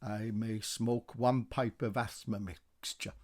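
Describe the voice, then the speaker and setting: A man's voice: on the low side, steady tone, slow pace, a little mellow. A man voicing meek, henpecked ghostly husbands who recite dutifully by rote in a radio play. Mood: resigned. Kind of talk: radio play verse drama.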